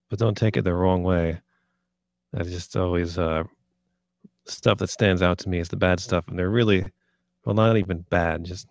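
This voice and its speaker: A man's voice: low voice, a little mellow, no room sound, clean studio sound. A man giving casual critique in a hesitant, laid-back, hedging way. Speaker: script reader giving feedback. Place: online voice-chat stream.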